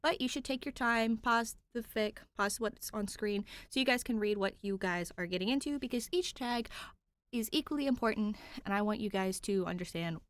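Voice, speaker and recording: A woman's voice: mid-range voice, moderate pace, dry recording. A woman podfic reader narrating fan fiction expressively, with an informal, conversational touch.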